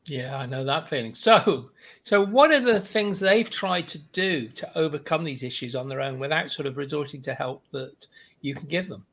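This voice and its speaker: Slightly hoarse male podcast host. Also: on the high side, normal speed, fairly bright tone. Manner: friendly, restarts questions often. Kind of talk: casual interview.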